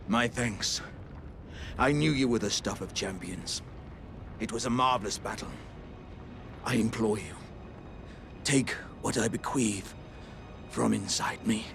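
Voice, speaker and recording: An actor playing a proud, jovial living warrior jar in a video game, speaking in a grandiose, old-fashioned heroic manner. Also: male voice, mid-range voice, vocal fry, heavily acted. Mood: dying, solemn. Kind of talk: video game character dialogue.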